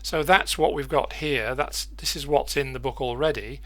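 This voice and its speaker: A man presenting an unscripted software tutorial, thinking aloud haltingly and repeating words as he goes. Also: mid-range voice. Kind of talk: unscripted screen-share tutorial.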